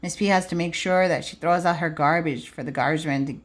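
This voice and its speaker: A woman's voice: low voice, brisk. A woman preschool teacher reading aloud to young children, adding simple explanations and checking in with questions. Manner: simple child-directed phrasing.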